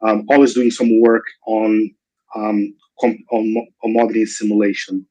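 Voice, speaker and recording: Guest lecturer, Brazilian man, mixed accent, halting delivery, online call. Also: lowish voice, level tone, mellow, rough recording. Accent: mixed Brazilian, British and American English. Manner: hesitant, many restarts and fillers. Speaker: invited guest speaker. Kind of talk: online seminar talk. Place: video call.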